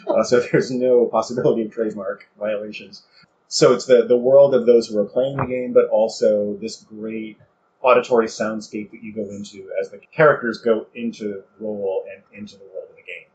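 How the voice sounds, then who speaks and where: A man's voice: lowish voice, steady tone, moderate pace, a little mellow. A man hosting a podcast, giving conversational commentary. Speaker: podcast host. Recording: dull audio with faint background noise.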